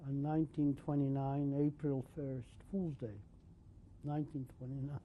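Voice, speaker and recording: A man's voice: medium pitch, level tone, normal speed, a little hiss or hum. Hesitant older man on dull old tape. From Poland. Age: 60s. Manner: hesitant, many ums and restarts. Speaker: interviewee. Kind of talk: oral history interview.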